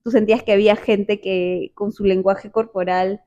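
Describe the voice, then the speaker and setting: A woman from Peru with a Peruvian accent, telling a personal story in casual chat, animated and quick to repeat words. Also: mid-range voice, highs cut off. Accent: Peruvian Spanish.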